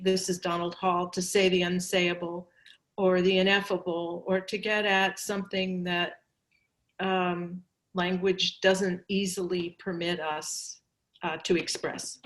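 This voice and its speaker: A woman poet whose first language is German, answering audience questions at a reading and pausing to search for her words. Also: mid-range voice, a bit bright.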